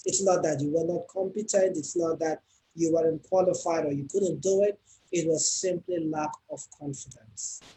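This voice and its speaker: A man's voice: a little high, animated, normal speed, gravelly, mellow, poor audio. A man hosting a casual interview, encouraging the guest and summing up her answers. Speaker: show host.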